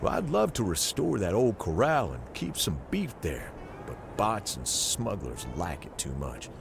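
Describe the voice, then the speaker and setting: An actor playing a folksy, friendly frontier rancher in a video game, gravelly and expressive, reading out a neighborly letter. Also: male voice, deep voice, a little slow, background noise, exaggerated voice. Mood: friendly, appreciative.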